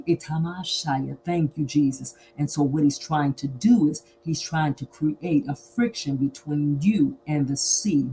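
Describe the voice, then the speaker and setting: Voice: mid-range voice, mellow, a little hiss or hum, poor audio. A preacher delivering an emphatic sermon, repeating phrases and asking rhetorical questions then answering them.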